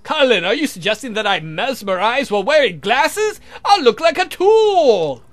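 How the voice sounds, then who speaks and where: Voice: medium pitch, big pitch swings, normal speed, natural voice. A performer voicing an exasperated, short-tempered male vampire count in a visual novel, whiny and given to theatrical outbursts. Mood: indignant, frustrated.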